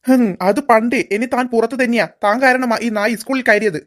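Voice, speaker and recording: A woman doing a gruff, bossy male principal. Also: fairly high pitch, fairly even intonation, a little fast, lightly acted. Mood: scolding. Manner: gruff, scolding, dismissive.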